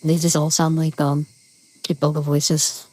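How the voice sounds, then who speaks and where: A woman using a voice-changer filter that makes her sound like a cute, high-pitched girl, talking playfully. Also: little pitch movement, unhurried, mellow, rough recording. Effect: high girly voice-changer filter.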